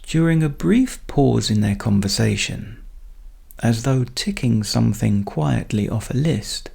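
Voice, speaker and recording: A man tells a bedtime story calmly and gently. His delivery is slow and soothing, with heavy creak and a husky, breathy softness. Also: mid-range voice.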